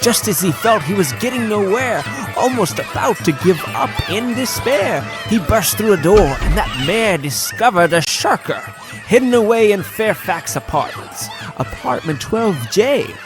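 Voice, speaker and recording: Animated woman narrating a children's story. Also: mid-range voice, a little slow. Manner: dramatic storytelling. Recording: music behind the voice.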